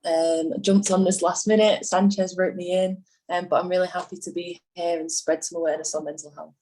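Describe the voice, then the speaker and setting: Low-voiced young woman, friendly. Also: flat delivery, brisk, dark tone, poor audio. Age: 20s. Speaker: mental health nurse, panelist.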